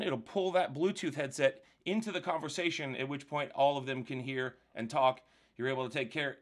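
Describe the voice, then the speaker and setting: A man's voice: on the high side, a bit bright. A man presenting a casual step-by-step product demonstration in a conversational, explanatory way.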